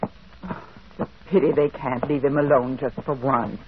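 A grumbling, protective housekeeper, curt and grudging. The old radio play sounds thin, with some noise. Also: female voice, a bass voice, fairly even intonation, measured pace. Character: grumbling, protective parish housekeeper with gruff bark. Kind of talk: 1940s radio drama acting. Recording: muffled, noisy old radio broadcast.

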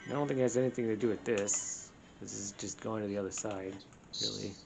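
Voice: sits low, fairly even intonation, brisk, gravelly. A gamer chatting casually while playing, muttering to themselves and swearing freely. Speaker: gamer on a let's play show. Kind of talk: casual gameplay chat. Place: indoors, room with a TV screen. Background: video game music.

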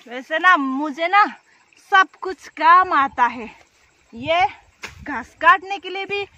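A woman's voice: very high-pitched, slow pace, bright, forceful tone, muffled recording. A woman in her thirties chatting casually and informally to viewers, often repeating herself, recorded on a phone outdoors. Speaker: village life vlogger. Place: outdoors in open rice fields and village. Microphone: phone, at arm's length or set up nearby. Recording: outdoor phone audio with light noise.

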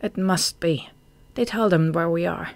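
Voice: mid-range voice, a little slow, vocal fry, no echo. Young female bat for a storybook acted by a narrator: practical, distrustful, savage under dull mutters, dry close sound. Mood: bitter, savage.

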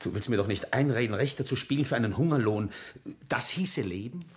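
Animated, cynical young careerist, played by a man. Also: mid-range voice, a little fast, slight background noise, lightly acted. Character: cynical, self-serving grandson protecting his career. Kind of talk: German radio drama acting.

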